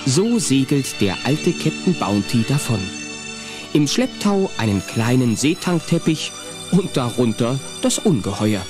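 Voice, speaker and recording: A man narrating a children's audio play in a suspenseful, expressive storytelling style. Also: mid-range voice, a little slow. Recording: music under the voice. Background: music.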